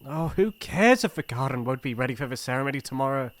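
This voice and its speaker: A man's voice: medium pitch, animated, fast talker, dry recording, natural voice. Man playing a shy young British prince. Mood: tender concern. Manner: formal courtly phrasing, hesitant and soft-hearted. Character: shy, earnest young crown prince, gentle and flustered. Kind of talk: ASMR roleplay acting.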